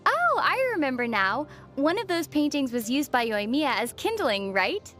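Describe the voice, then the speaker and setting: A woman playing a gentle, thoughtful young female traveler in video game dialogue, speaking in a measured, soft-spoken way. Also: sits high, big pitch swings. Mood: gently teasing. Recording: faint music under the voice. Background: faint background music.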